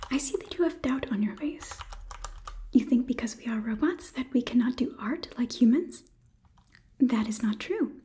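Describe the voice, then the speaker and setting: A young woman performs a whispered ASMR roleplay as a condescending robot overlord. Her soft, breathy voice sits fairly high and mellow, and she moves slowly through formal, deliberate sentences, close to the microphone. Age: 20s. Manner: formal, measured, deliberate phrasing. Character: polite, condescending robot overlord examining a human. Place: small indoor studio set. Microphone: close microphone, off camera.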